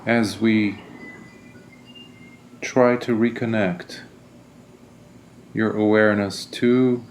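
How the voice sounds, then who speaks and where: Slow, low-voiced man guiding meditation. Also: steady tone, a little hiss or hum. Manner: gentle, deliberate, soothing instruction. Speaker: meditation teacher. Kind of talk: guided meditation.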